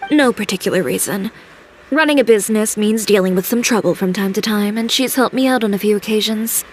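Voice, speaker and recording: A woman playing a guarded, confident young fashion designer in a video game, speaking in a brisk, matter-of-fact way. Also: mid-range voice, background noise, natural voice.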